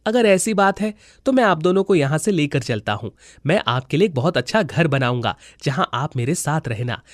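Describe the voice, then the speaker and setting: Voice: medium pitch, lively intonation, normal speed, no echo, studio quality, natural voice. A performer voicing a kind, devoted magical eggplant who acts as a young grandson in a cartoon. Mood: caring, eager. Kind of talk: cartoon dialogue.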